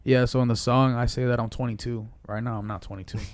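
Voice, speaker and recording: A man's voice: medium pitch, steady tone, fast talker. A man of twenty-four chatting casually as a podcast guest, with slangy, profane language. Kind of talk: casual chat.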